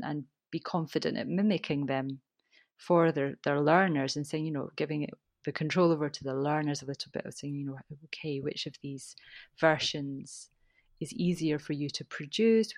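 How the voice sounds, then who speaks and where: A woman's voice: low voice, moderate pace, no room sound, clean studio sound. A woman with a Scottish accent, a teacher trainer answering interview questions in a thoughtful way, restarting her sentences often.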